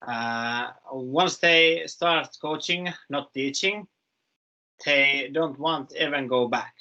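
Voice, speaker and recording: A young man with a Finnish accent who speaks haltingly in simple phrases during an informal webinar. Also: medium pitch, sharp tone, lo-fi sound. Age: young adult. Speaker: webinar panelist.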